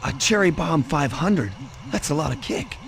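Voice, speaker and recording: A man voicing a suave, cocky young raccoon thief in a cartoon video game, smooth-talking and wry. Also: on the high side, expressive, normal speed, a crowd in the background. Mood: cool, impressed. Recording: music behind the voice.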